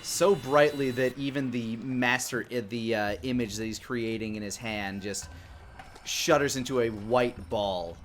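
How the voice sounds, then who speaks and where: A man's voice: mid-range voice, unhurried, a little hiss or hum. Man narrating as a game master. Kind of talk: narrating a live tabletop game.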